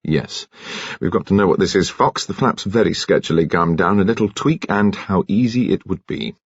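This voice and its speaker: A man's voice: sits low, normal speed, breathy edge, dry recording, lo-fi sound. A man voicing an urbane, courteous senior detective with a polite, authoritative, articulate manner in an audiobook. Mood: brisk.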